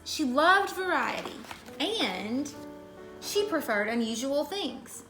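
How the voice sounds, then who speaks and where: A woman's voice: high voice, fairly bright tone, roomy sound. A woman reading a children's storybook aloud in a scripted narration style. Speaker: story reader.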